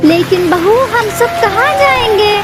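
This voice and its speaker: A woman's voice: very high voice, lively intonation, measured pace, over loud music, pitched above the performer's own voice. A woman voicing an elderly mother-in-law bird in a children's cartoon story, fretful, practical and given to advising. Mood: worried. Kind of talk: Hindi children's moral story cartoon dialogue.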